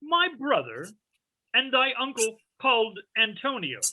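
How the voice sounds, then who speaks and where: An actor reading a stern but tender sorcerer father in measured, declamatory Shakespearean verse. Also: medium pitch, sing-song. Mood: grave, probing. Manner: measured, declamatory, deliberate phrasing. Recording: thin phone-like line.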